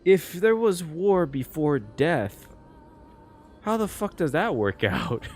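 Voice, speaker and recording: A man giving casual, expressive live reaction commentary on a game story, swearing as he puzzles aloud. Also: fairly high pitch, takes it slowly. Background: faint game music.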